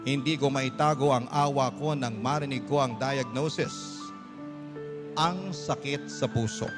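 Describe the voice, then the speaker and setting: Higher-pitched Filipino man telling a story. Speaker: radio storyteller reading a letter. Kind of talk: dramatic scripted narration. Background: background music.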